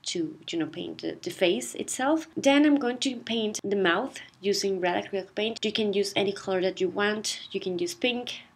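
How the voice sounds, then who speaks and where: A woman talks through a craft project in a loose, chatty voice-over, filling her sentences with easygoing asides. She is getting over a cold, so her voice sounds a touch stuffy and off. Her pitch sits in an ordinary middle range. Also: moderate pace, hardly any pauses, husky. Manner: chatty, relaxed, lots of "like" and "you know". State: recovering from a cold. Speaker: DIY craft channel host. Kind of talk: casual voice-over tutorial. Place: voice-over, not recorded on camera. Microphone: not her usual voice-over mic.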